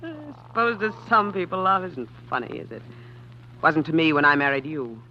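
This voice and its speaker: A woman's voice: deep voice, expressive, moderate pace. An actress playing a cold, proud, imperious woman in a 1940s radio mystery, curt and scornful. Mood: scornful, angry. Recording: dull, noisy 1940s radio recording.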